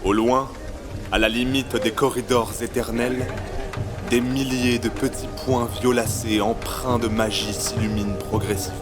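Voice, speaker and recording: Male narrator, medium pitch, dramatic scripted fantasy storytelling, loud music bed. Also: steady tone, moderate pace.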